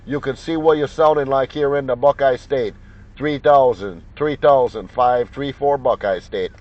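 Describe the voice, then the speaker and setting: A man's voice: medium pitch, normal speed. A man working a CB radio as operator, repeating call signs in slangy, folksy, joking banter. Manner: repetitive, jocular, folksy banter. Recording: muffled lo-fi sound with loud radio noise, clicks. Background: CB radio noise and clicks.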